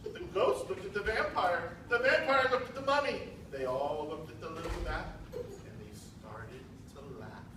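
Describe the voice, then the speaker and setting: An American man narrates a children's story as a school presenter. His voice is high and lively, with emphatic theatrical delivery, echoing from far off in a noisy hall. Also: moderate pace. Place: large school multipurpose room, indoors. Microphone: distant camera.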